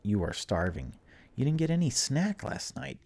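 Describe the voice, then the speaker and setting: A man's voice: medium pitch, very animated, moderate pace, gravelly, darkish tone. A man hosting a gaming video, reading on-screen story text aloud in a casual way and adding his own informal reactions. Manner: informal, reacts aloud between read passages. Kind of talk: casual let's play, reading game text aloud with commentary.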